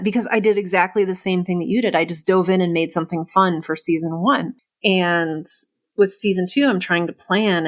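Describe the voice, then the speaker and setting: A woman hosting a casual interview podcast, speaking in an effusive, emphatic, conversational way. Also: on the low side.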